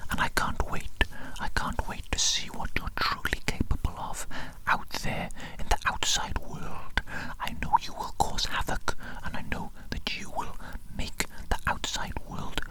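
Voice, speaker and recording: An ASMR performer voicing a sinister, doting evil doctor in a breathy whisper, repeating phrases deliberately in a medical roleplay, close to the microphone. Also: lightly acted, low-quality recording. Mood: proud, menacing. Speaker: ASMR performer playing an eye examiner.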